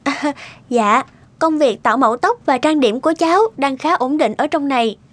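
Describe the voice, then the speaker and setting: Woman playing polite, high-pitched young fiancée. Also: normal speed, dry recording, natural voice. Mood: polite, earnest. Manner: polite, earnest. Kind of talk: family cartoon dialogue.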